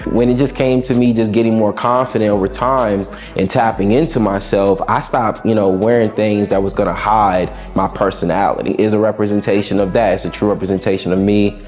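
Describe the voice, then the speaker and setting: A young adult man with a raspy voice, a musician guest answering interview questions in a laid-back, reflective way with casual slang. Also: fairly low pitch, steady tone, brisk, few pauses, darkish tone, soft background music.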